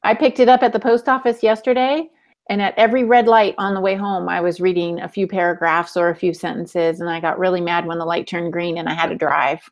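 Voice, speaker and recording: A woman's voice: fairly low pitch, little pitch movement, fairly quick. A woman co-hosting a podcast, chatting casually with the others. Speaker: podcast co-host. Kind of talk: casual chat.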